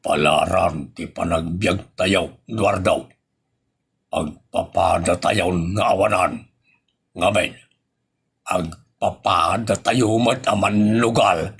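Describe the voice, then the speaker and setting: A man's voice: low-pitched, fairly even intonation, slow, gravelly, fairly bright tone, slightly rough, heavily acted. A man playing a broke, resigned friend in a comedy audio drama, drawling hesitantly and placatingly.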